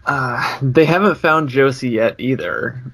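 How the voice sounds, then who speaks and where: A man's voice: mid-range voice, animated, unhurried, fairly bright tone, husky, rough recording. A man co-hosting a podcast, talking casually in a group discussion. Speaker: podcast co-host.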